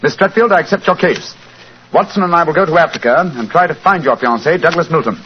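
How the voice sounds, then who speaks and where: An actor playing an astute, courteous English detective with a British accent in 1940s radio style. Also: male voice, a little high, fast talker, natural voice. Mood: brisk, deductive. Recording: muffled, noisy 1940s radio recording.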